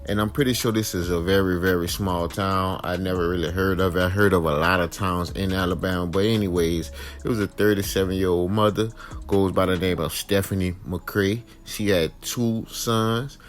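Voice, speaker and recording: A low-pitched American man narrates true crime in an informal, opinionated way. He addresses viewers directly in colloquial speech and leans on repeated phrases for emphasis. Music plays behind his voice. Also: steady tone, normal speed. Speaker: true crime commentary host. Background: background music.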